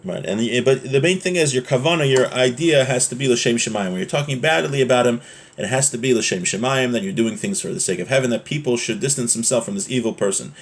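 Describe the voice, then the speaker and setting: A man's voice: medium pitch, quick pace. A man giving an informal religious lesson as a teacher, explaining texts in a conversational way and checking in with his listeners.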